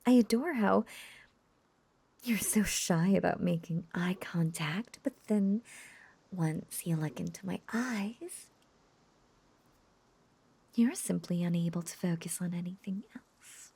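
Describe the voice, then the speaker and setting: Woman playing a breathy, creaky, lovesick witch. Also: on the low side, mellow, heavily acted. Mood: seductive, teasing.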